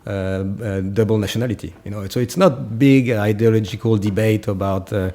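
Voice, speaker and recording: French-accented man, mellow and hesitant. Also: on the low side, fairly even intonation. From France. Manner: halting, hesitant, searching for words. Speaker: political candidate, interview guest. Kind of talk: informal interview answers.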